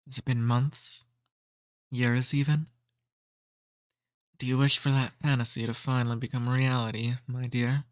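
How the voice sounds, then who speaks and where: Guy doing a flirty ancient vampire for asmr, real slow and teasing, kinda bossy but courtly, super dry close sound. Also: medium pitch, level tone, smooth, no echo, natural voice. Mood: seductive, teasing. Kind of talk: intimate ASMR roleplay.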